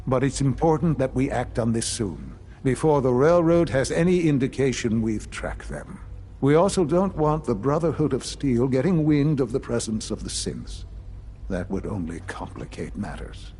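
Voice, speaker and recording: An actor playing a calm, calculating older institute leader in a video game, speaking formally and courteously. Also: male voice, mid-range voice, moderate pace, a little hiss or hum, close to an everyday voice. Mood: grave, resolute.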